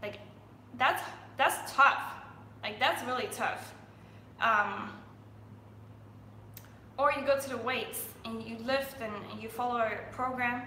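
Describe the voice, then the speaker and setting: A woman's voice: a little high, steady tone, slow, long pauses, sharp tone, roomy sound, a little hiss or hum, poor audio. A young woman from Denmark, a fitness coach chatting on a live stream, full of fillers and restarts.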